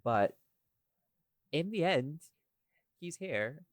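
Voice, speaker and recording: A man's voice: fairly high pitch, animated, drawn-out words, dry recording. A man chatting casually and expressively about his own audio work on a behind-the-scenes podcast. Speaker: audio drama creator, podcast host.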